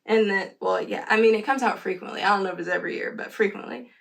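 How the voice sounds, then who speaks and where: Female vlogger, mid-pitched with noticeable vocal fry, rambling and conversational, casual storytelling review delivery. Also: quick pace, fairly bright tone.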